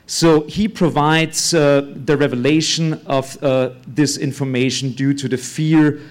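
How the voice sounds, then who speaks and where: A man's voice: on the high side, fairly even intonation, measured pace. A man with an Austrian German accent giving a live talk on stage, blunt, with lots of filler sounds and the odd curse word. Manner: hesitant, many uh fillers, blunt with curse words. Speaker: conference speaker. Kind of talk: live talk without slides.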